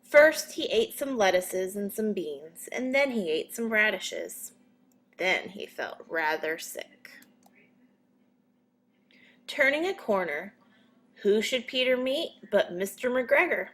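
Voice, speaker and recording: A woman reading a children's story as a narrator, in a measured but expressive storytelling manner. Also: medium pitch. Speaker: storybook reader.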